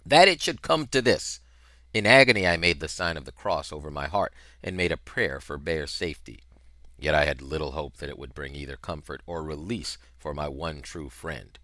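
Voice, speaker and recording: A middle-aged man narrating a novel in an expressive, dramatic style, with emphatic, deliberate phrasing on a headset mic. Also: extremely low pitch, dry recording. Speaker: reader of a children's novel.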